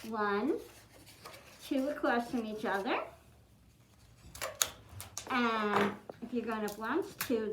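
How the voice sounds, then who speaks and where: A woman demonstrating a craft for children, giving simple step-by-step instructions in an encouraging way. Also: fairly high pitch. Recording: roomy echo, clearly noisy, clicking.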